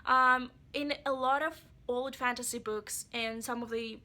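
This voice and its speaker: A woman's voice: a little high, fairly even intonation, a little slow, sharp tone. A woman giving a chatty, rambling book review and speaking straight to her viewers, her voice a bit off from being sick. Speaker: book vlogger. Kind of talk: casual book review vlog.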